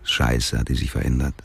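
A man's voice: a bass voice, creaky, husky, full character voice. A man acting as a crude, world-weary ex-convict, telling his story in blunt, colloquial radio drama monologue. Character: crude, world-weary male ex-convict out after fifteen years.

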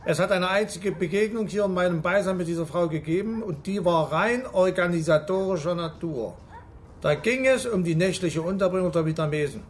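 An actor in a radio drama playing a defensive, bureaucratic village police chief with a brusque manner. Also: male voice, high-pitched, a little fast, a little hiss or hum, natural voice. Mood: defensive, official.

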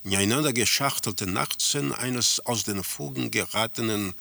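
An actor playing a detached male narrator in a radio drama, describing surreal scenes in a measured, scripted way. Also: lowish voice, creaky, bright, forceful tone, heavily acted.

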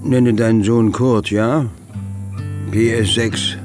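A man's voice: lowish voice, steady tone, slow. An actor playing an older father, tender and wry, reading out his farewell letter in a radio drama. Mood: wry, fond. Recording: music behind the voice. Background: guitar music.

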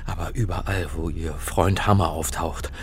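Actor as a bewildered, low-voiced man, stammering. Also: steady tone, normal speed, noisy background, lightly acted. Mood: nervous defiance. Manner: hesitant, stammering, questioning. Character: bewildered, anxious man drawn into a deadly mystery. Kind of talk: German radio drama acting.

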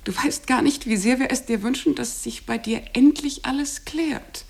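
Cloying, high-voiced meddling wife. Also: female voice, normal speed, gravelly. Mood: sugary, solicitous. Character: cloying, pushy wife meddling in a friend's marriage. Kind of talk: German stage-play dialogue acting.

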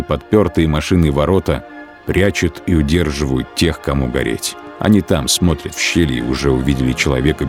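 A man narrating a radio drama in a measured, grave manner. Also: rumbling low. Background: music score.

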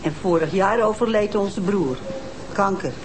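An actress playing a bitter, self-pitying elderly woman who complains in a drawn-out way, in a Dutch TV detective drama. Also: mid-range voice, a little hiss or hum, natural voice, rough recording. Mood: aggrieved. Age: older.

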